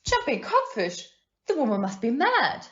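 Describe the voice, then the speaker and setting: An amateur actress in an audio play playing an excitable, plucky American female mystery fan who talks in breezy, slangy exclamations. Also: high voice, normal speed, muffled recording, heavily acted. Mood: amazed.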